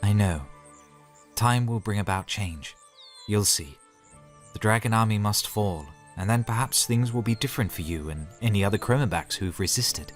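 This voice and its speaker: A man's voice: lowish voice, normal speed, faint music behind, natural voice. A man playing a good-natured, earnest knight commander in a fantasy audio drama. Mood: reassuring.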